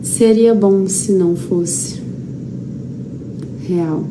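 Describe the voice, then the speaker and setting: A woman's voice: mid-range voice, extremely slow. A woman with a Brazilian accent giving an informal, anecdote-filled lecture as a therapy teacher, repeating phrases for emphasis. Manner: conversational, emphatic repetition, acts out quoted dialogue. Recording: clearly noisy background.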